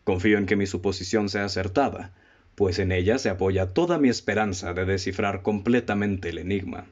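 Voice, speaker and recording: Radio play detective, male actor: Mexican accent, deep-ish, deliberate and erudite, sure of himself, dull lo-fi sound. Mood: confident, explaining. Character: self-assured analytical amateur detective, adult man, methodical and cryptic. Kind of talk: radio drama acting.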